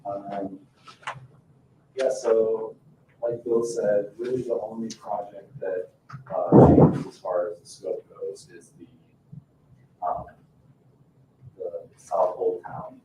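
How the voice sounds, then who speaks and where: A man's voice: low-pitched, darkish tone. A man presenting as a consultant, giving an informal report at a public meeting. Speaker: consultant presenting project costs. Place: indoor town board meeting room. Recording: echoey room, distant mic, faint noise.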